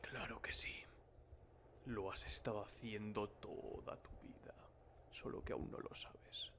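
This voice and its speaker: A man playing a menacing, creepily controlling martial-arts hero husband in a cartoon parody, speaking slowly and lapsing into breathy whispers. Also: lowish voice, steady tone, lightly acted. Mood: whispered menace. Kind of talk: cartoon parody fandub acting.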